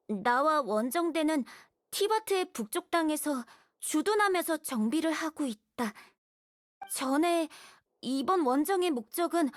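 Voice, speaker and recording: A woman's voice: sits high, no room sound. A woman voicing a young, timid boy surveyor in a game, polite, hesitant and earnest. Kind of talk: scripted game dialogue, reading a letter aloud. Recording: music behind the voice. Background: music.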